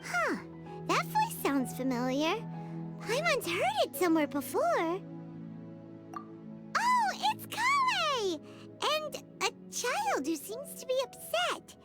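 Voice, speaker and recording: A woman voicing a tiny, chirpy, childlike fairy companion in an animated style for a video game, with music behind. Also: slow pace, full character voice. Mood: curious, excited. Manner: squeaky, exclamatory, refers to self in third person.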